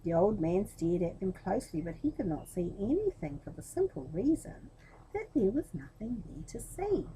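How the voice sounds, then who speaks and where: A woman's voice: mid-range voice, very animated, moderate pace, dark tone, slight background noise, low-quality recording. A woman reading a children's storybook expressively, talking directly to young listeners as the storyteller.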